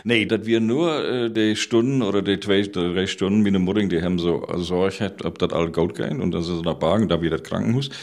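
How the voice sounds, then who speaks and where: A middle-aged or older man from Rügen with a Low German Baltic coast accent, telling anecdotes colloquially as an interview guest. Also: fairly even intonation, brisk, hardly any pauses, a little mellow. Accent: Low German, Baltic coast of northeast Germany. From island of Rügen, northeast Germany. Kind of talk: casual storytelling chat.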